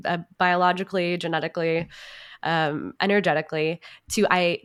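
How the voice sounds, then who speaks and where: Young woman, slightly low voice, earnest. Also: a bit bright. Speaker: podcast guest, actor and marketing strategist. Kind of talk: casual interview conversation.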